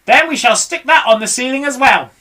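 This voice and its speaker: Storybook monkey father, frantic and bossy, yelling in a high, swooping voice, as a reader puts him on. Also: very animated, measured pace, exaggerated voice. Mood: eager. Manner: shouting orders, frantic. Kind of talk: storybook read-aloud.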